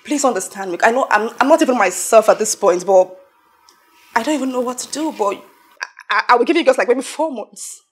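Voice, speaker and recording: A woman's voice: on the high side, fairly quick, breathy edge. An actress with a Nigerian accent playing a self-pitying, domineering young businesswoman in a film drama, with a dramatic, repetitive, pleading delivery. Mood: anguished, hesitant. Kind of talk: emotional film drama acting.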